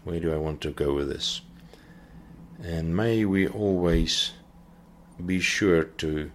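Deep-voiced man earnestly teaching scripture. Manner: earnest, searching for words as he goes. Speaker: podcast host and Bible teacher. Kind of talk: unscripted teaching talk.

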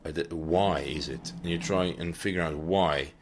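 A man hosting a podcast, giving an unscripted Bible teaching talk and often pausing to search for his words. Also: sits low, slight background noise. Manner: earnest, searching for words as he goes.

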